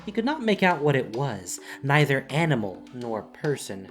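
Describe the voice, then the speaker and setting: A man narrating a fantasy story as scripted audio drama, in a dramatic, deliberate storytelling manner. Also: mid-range voice, big pitch swings. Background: music.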